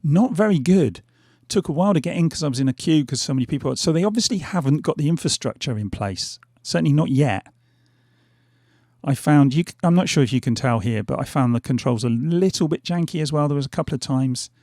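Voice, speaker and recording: A middle-aged man talks over his gameplay in a loose, hesitant ramble full of fillers. His voice is gravelly and fraying because he keeps losing it. The sound is dry and close, with no room around it. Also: a little high, animated, brisk, darkish tone, no room sound. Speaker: gaming channel host. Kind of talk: casual gameplay commentary. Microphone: headphones on, desk mic.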